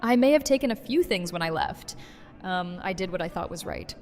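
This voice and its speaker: A woman's voice: fairly low pitch, lively intonation, fairly quick, darkish tone. A woman playing a blunt, wry, guarded community leader in a fan audio drama. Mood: conceding. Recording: echoey hall, faint music behind.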